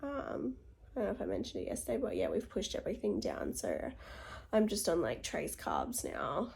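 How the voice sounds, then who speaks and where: Croaky, hoarse young woman vlogging. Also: huge pitch swings, moderate pace, darkish tone. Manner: tired, low-key, upbeat despite illness. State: hoarse from illness; congested, chesty cough. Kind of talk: casual video diary.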